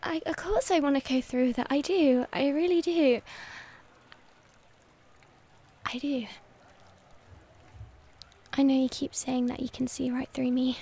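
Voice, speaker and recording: A woman playing a shy, devoted young werewolf girlfriend in an intimate ASMR roleplay, speaking hesitantly and tenderly in a breathy voice that often drops toward a whisper. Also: high-pitched, steady tone, warm tone. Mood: embarrassed, earnest. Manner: hesitant, tender, halting delivery.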